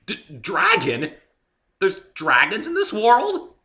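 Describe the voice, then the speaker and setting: A man acts out a polite, geeky young man in a story reading. He sounds earnest and unsure, with a very bright voice and lively pitch movement. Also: takes it slowly, exaggerated voice. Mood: nervous.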